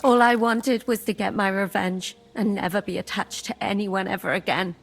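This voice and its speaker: A woman's voice: medium pitch, steady tone, moderate pace, creaky, faint music behind. A woman playing a bitter, emotionally wounded young medieval woman in a game, weary and full of feeling. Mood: sorrowful. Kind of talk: dramatic game cutscene acting.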